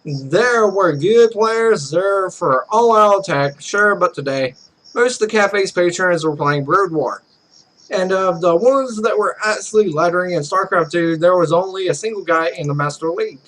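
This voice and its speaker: A man's voice: high voice, normal speed, fairly bright tone, low-quality recording. A man hosting a let's play, casually reading visual novel text aloud and commenting, often stumbling over the words.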